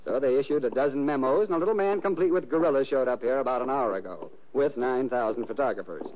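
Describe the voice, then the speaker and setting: An actor playing a glib, harried Hollywood film star bantering in 1950 radio mystery style. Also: male voice, medium pitch, lightly acted. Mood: jaunty, wry. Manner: glib, rapid comic banter. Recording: dull, noisy old radio transcription.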